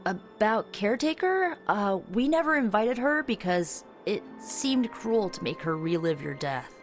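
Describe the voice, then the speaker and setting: An actress playing an informal, chatty and slightly awkward young sorceress in a video game. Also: female voice, medium pitch, big pitch swings, a little slow, soft background music. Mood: friendly, careful. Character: casual, eager young sorceress, a bit awkward. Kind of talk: video game cutscene acting.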